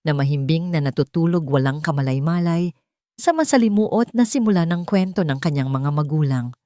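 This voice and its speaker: Filipina woman reading a dramatic story, relaxed dark voice, dry sound no room. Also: on the low side, dry recording. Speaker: narrator. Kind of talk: scripted dramatic story narration.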